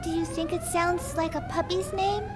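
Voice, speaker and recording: Sweet, very high-voiced little android girl. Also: sing-song, moderate pace. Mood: playful, friendly. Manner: soft, hesitant, girlish. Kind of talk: English video game dub acting. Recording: roomy echo, clear background noise.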